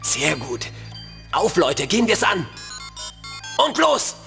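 Brisk antivirus team leader. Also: male voice, high voice, huge pitch swings, gravelly, bright, forceful tone, long reverb tail, full character voice. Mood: urgent. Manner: urgent, clipped orders. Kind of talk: children's audio drama acting. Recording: music underneath.

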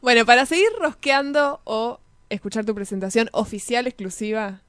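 Clear, high-voiced Argentine woman radio host. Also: normal speed, a bit bright, no room sound, clean studio sound. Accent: Argentine Spanish. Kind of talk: casual live radio presenting. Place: radio studio booth. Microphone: studio microphone with headphones.

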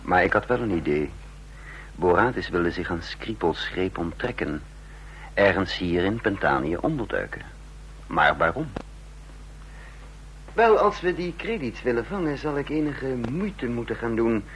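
An actor in an old radio play playing a stern, shrewd intelligence chief, with husky, authoritative, clipped delivery. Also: male voice, lowish voice, very animated, a little slow, pauses often, a bit bright, slight background noise. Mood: brooding, calculating. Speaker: narrator and lead actor in a radio drama. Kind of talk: vintage Dutch radio play acting.

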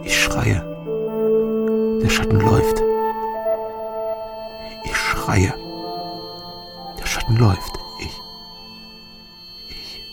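A guarded ex border guard in a radio thriller, crackly low fry, music loud behind. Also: male voice, fairly even intonation, drawn-out words, long pauses, full character voice. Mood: brooding, tense. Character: East German ex-border guard, brooding, guarded first-person narrator. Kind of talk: German radio crime drama acting and narration.

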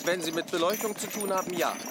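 Actor as a brusque high-voiced male engineer. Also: steady tone, fast talker, very noisy, natural voice. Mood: matter-of-fact. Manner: brusque, matter-of-fact, instructing. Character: brusque, bossy male engineer maintaining the landscape lighting. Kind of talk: German radio drama acting.

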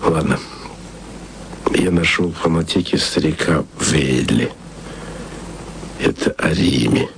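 A man's voice: very deep voice, takes it slowly, gravelly, long pauses, slight background noise. A man narrating a radio play as tender, musing letters spoken to a loved one. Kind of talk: intimate radio-play monologue.

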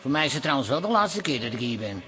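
A man's voice: medium pitch, sing-song, fast talker, bright, forceful tone, faint music behind. An actor playing a flamboyant, easily offended male hairdresser and pub regular in a radio comedy-drama. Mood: huffy.

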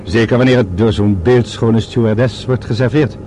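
Actor as courteous older doctor, noisy radio play. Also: male voice, medium pitch, steady tone, normal speed, warm tone, natural voice. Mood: affable, attentive. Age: middle-aged or older. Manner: formal, polite, solicitous. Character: courteous, smooth-talking older male doctor on a journey. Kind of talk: Dutch radio drama acting. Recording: old radio drama with clear background noise.

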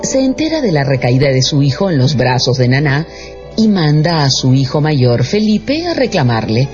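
A male narrator reads a scripted radio drama with very animated intonation. The sound is a little dull, and faint music runs underneath. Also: a little high, moderate pace.

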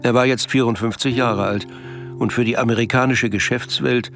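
A man's voice: medium pitch, fairly even intonation, normal speed, over music. A man reading scripted literary narration for a radio play.

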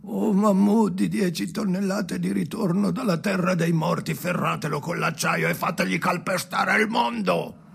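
An actor playing a haunted, restless man in an avant-garde radio drama monologue, theatrical and halting, with a gravelly voice and obsessive delivery. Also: high-pitched, heavily acted. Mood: frenzied, commanding. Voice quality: gravelly, creaky. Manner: theatrical, halting, obsessive, swinging from murmurs to shouts. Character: Henry, haunted, restless man talking to his dead father.

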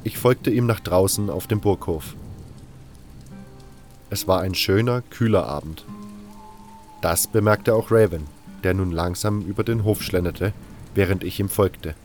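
A man's voice: sits low, unhurried, long pauses, darkish tone. A man playing a wary camp member who narrates his own story in a German-language fan audio drama, with music underneath. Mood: guarded, reflective. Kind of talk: first-person audio drama narration with dialogue.

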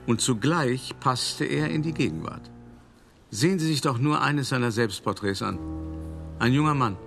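A man's voice: mid-range voice, gravelly. An actor playing a worldly, cultured older art dealer who narrates his memories in a radio play, conversational and wry, addressing the listener directly. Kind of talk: first-person radio play monologue. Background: music.

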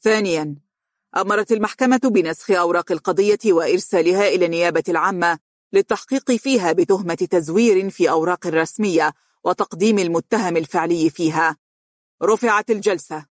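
Brief: narrator voices a judge, formal brisk verdict reading, dry recording. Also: male voice, high voice, no room sound, lightly acted.

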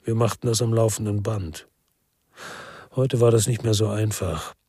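Middle-aged ex-cop narrator in radio noir: rough-edged voice, dry sound, understated, world-weary delivery. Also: male voice, on the low side, moderate pace, warm tone, dry recording. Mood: rueful. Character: world-weary male ex-cop narrating his crime story. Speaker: narrator and lead character. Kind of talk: first-person crime radio drama narration.

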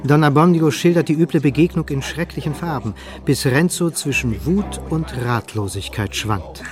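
A man's voice: a little high, moderate pace, a little mellow, soft background music. A man narrating a literary radio play in a scripted, storytelling style.

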